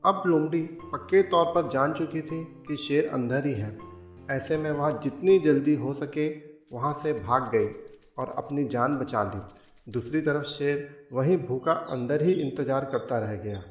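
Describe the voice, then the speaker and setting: A man narrating a scripted children's cartoon story in a steady, clear storytelling manner. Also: on the high side, a little slow. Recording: echoey with music under it.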